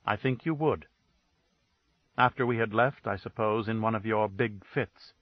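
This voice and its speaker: A man reading the lines of a grieving, bitter woman in a dead, flat tone, pitched lower than his narration. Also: moderate pace, no echo, dull audio, lightly acted. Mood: cold accusing.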